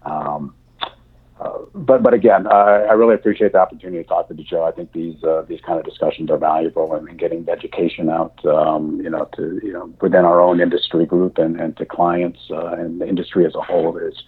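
A man whose first language is German, answering interview questions in a halting way with many fillers and restarts. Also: low-pitched, little pitch movement, slightly rough. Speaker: insurance industry guest. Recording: tinny phone line.

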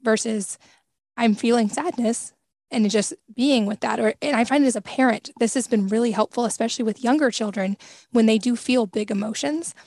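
A woman's voice: a little high, moderate pace, a little mellow, dry recording. A woman podcast host speaking reflectively, thinking aloud as she responds to her guest. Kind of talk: conversational interview.

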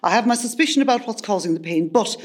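A woman's voice: medium pitch, lively intonation, fast talker, close to an everyday voice. A woman playing a frank, methodical hospital doctor in a radio play, formal and clinical in manner. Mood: clinical, businesslike. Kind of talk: comedy radio play acting.

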